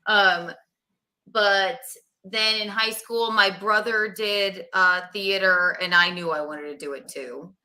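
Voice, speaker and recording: A woman's voice: medium pitch, steady tone, takes it slowly, sharp tone. A young woman from South Carolina, a stand-up comedian chatting as a guest and joking at her own expense. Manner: jokey, self-deprecating, rambling. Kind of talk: casual interview chat.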